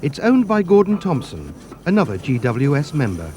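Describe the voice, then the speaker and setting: A male narrator reads a documentary script in a formal, measured way. His voice is a little high and swoops widely in pitch. Faint hiss sits underneath. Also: wildly swooping pitch.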